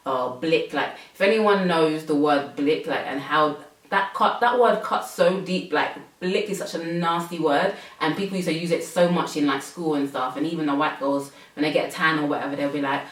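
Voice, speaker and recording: Young woman host, low voice, chatty with fillers, roomy room sound from off mic. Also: moderate pace, hardly any pauses, distant mic. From England. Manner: chatty, many fillers, laughs often. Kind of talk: casual chat.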